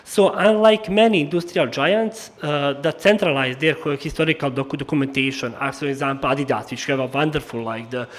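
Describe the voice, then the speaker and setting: A man from former Yugoslavia with a Slavic accent gives a formal public lecture as a historian, haltingly, with frequent hesitations and repeated words. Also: fairly high pitch. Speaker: historian giving an annual lecture.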